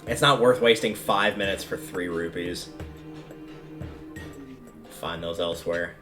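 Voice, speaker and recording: A man's voice: low voice, measured pace, over music. A man livestreaming a video game, giving casual, rambling commentary as he thinks aloud.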